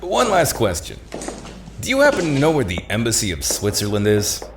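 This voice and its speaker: A man's voice: fairly low pitch, wildly swooping pitch, a little fast, background noise. An actor with an American accent playing a world-weary thief with a conscience in an audio play, talking in a folksy, tough-guy way. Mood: friendly. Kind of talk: audio drama acting.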